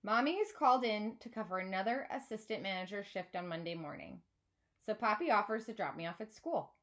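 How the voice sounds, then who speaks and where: A woman reading a children's novel aloud like a teacher, with expressive, dramatised narration. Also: medium pitch, brisk.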